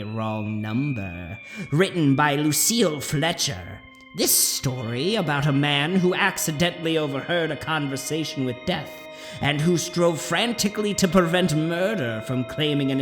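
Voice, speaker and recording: A man announcing a radio drama in a dramatic scripted style. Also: on the high side. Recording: music behind the narration.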